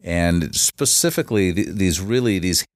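A man's voice: deep voice, animated, no room sound, studio quality. A man speaking as a podcast guest, explaining at length with frequent restarts and fillers. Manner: halting, many restarts and fillers. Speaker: guest, shamanic facilitator. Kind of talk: casual podcast interview.

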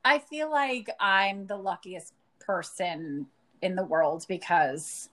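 A woman co-hosting a casual podcast, gushing warmly about her guest and filling her talk with "like". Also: on the low side, slow. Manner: gushing, uses many "like" fillers. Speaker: podcast co-host.

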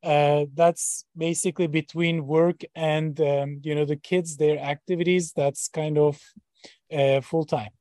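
A man's voice: a little high, steady tone, measured pace, darkish tone, dry recording. A man in his forties from Turkey, a startup founder and professor, answering interview questions in a hesitant way with many fillers and restarts. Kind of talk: interview guest.

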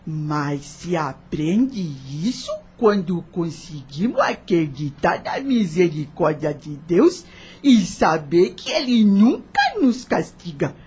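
An actress playing a wise, devout old enslaved nanny in a radio drama, gentle and motherly, with a husky voice and rustic, unschooled speech. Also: lowish voice, sing-song, slow, exaggerated voice. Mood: earnest, devout. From taken by force from her homeland. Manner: rustic uneducated grammar, patient moral teaching.

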